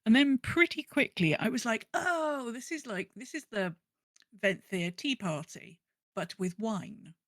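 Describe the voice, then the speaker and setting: A woman's voice: on the high side, expressive, a little slow, fairly bright tone, no room sound. Casual woman podcaster, close dry sound. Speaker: podcast co-host. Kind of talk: casual chat about an online game.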